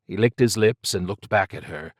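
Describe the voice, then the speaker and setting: A man narrates an audiobook story in a low voice. The sound is dry and close, and the delivery is scripted prose narration. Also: moderate pace, dry recording.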